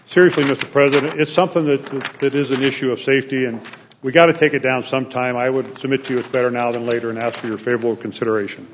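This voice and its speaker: A man's voice: mid-range voice, steady tone, racing, slight background noise. A man speaking as a senator on a legislative floor, earnest and plain-spoken, often restarting his phrases. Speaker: state senator. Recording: dull lo-fi chamber audio.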